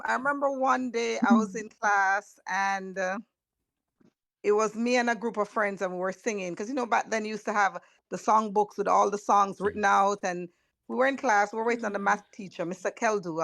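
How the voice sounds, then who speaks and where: A middle-aged woman with a Jamaican accent and a naturally hoarse voice, casually telling a school memory as a guest. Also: medium pitch, fairly even intonation, normal speed, a bit bright, no echo. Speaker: guest, former student. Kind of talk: casual storytelling on a video call.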